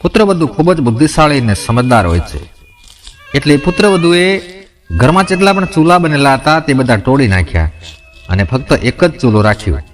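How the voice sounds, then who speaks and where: Male storyteller, mid-pitched, faint music underneath. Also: lively intonation, moderate pace. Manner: addresses listeners directly, voices quoted characters in his own voice. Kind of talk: narrating a moral folk tale.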